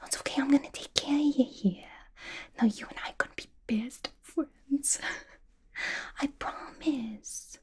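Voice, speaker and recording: A woman voicing a scatterbrained, gossipy, clingy young female villain in a breathy, intimate ASMR whisper, chatty and playful with slangy grammar. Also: high voice, slow, darkish tone. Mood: coaxing, friendly. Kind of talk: ASMR roleplay, whispered to the listener.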